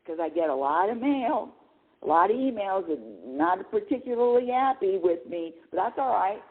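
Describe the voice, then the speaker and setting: A middle-aged woman hosts a spiritual talk show, her voice swinging widely in pitch as she talks. She often restarts and repeats words and laughs between thoughts. The sound is narrow and phone-like. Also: very animated, normal speed, creaky. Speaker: radio show host. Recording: phone-like lo-fi line.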